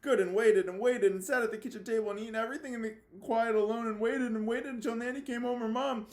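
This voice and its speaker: A man's voice: extremely high pitch, steady tone, quick pace, echoey room, pitched above the performer's own voice. A man reading a children's novel aloud as an anxious, defiant young boy left home alone, confessional and emphatic. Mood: worried, regretful. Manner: confessional first-person storytelling with emphatic, childlike phrasing.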